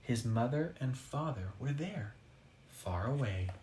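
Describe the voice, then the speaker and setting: Animated man reading a children's story. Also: mid-range voice, slight background noise. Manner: dramatic, with pauses for effect. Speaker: storybook reader. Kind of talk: expressive children's story read-aloud.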